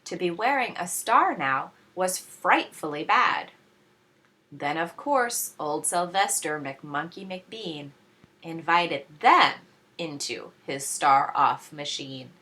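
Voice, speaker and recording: A woman reading a children's storybook aloud like a teacher, with expressive, dramatic phrasing. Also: deep voice, slow.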